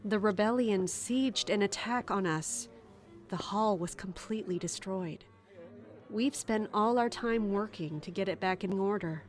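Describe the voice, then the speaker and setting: A woman's voice: medium pitch, measured pace, faint music behind, lightly acted. An actress playing a composed, formally spoken woman of a royal castle in a fantasy audio drama.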